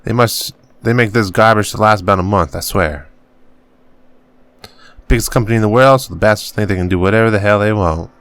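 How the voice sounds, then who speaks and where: Man reading as a gruff, cursing farmer dad. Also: on the low side, a bit bright. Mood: grumbling. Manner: grumbling, cursing, drawn-out reading. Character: gruff weary middle-aged farmer father, bitter miner.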